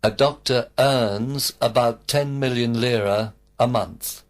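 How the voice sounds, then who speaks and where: A man giving slow, complete textbook answers as a model student in a recorded English lesson. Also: mid-range voice. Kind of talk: scripted full-sentence answers.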